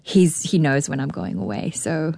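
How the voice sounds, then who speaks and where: Kiwi woman in her 30s, kinda low voice, earnest, lots of questions, dry close sound. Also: lively intonation, moderate pace, gravelly, dark tone, no echo. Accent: New Zealand. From New Zealand. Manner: earnest, searching, many rhetorical questions. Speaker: guest, orchestra conductor. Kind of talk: reflective interview conversation.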